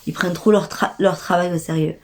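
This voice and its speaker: A woman's voice: on the low side, fairly even intonation, brisk, slight background noise. A young woman with a stuffy nose from a cold tells an indignant, slangy story straight to her viewers. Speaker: vlogger. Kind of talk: casual storytime rant.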